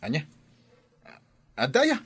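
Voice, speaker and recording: A man's voice: unhurried, a bit bright, close to an everyday voice. A man with an Ilocano accent playing a sheepish young hard-drinking village man in an audio drama. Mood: surprised, chummy.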